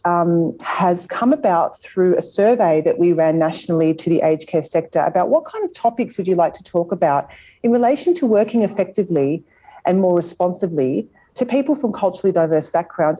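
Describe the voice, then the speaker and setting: Low, mellow woman hosting a webinar. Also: dull audio. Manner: informal, with frequent ums. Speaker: webinar host. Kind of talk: introducing a training webinar.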